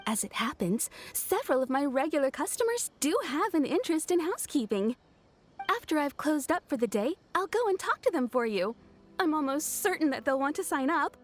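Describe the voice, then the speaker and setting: Friendly fabric seller, high voice, lively melody, polite, helpful, game dialogue. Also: female voice, brisk, slight background noise. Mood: helpful, pleased. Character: friendly, obliging female fabric shop owner. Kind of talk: scripted video game voice acting.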